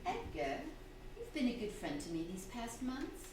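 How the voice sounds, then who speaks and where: Woman acting a high-voiced genteel young wife, echoey. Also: huge pitch swings, normal speed, distant mic. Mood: indignant, confiding. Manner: genteel, theatrical, emphatic. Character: educated, strong-willed young wife, genteel. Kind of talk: staged old-fashioned radio drama acting. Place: theatre hall, distant microphone. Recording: echoey, noisy stage recording from afar.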